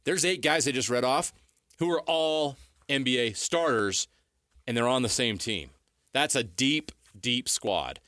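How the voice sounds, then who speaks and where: A man's voice: mid-range voice, lively intonation, unhurried, pushed and bright, no room sound, clean studio sound. A man co-hosting sports radio, giving opinionated analysis and emphatically repeating his points. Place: radio studio. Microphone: close studio microphone.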